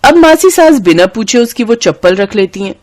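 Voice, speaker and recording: A woman's voice: a little high, very animated, normal speed, darkish tone. A woman narrating a cartoon story in a dramatic storytelling manner.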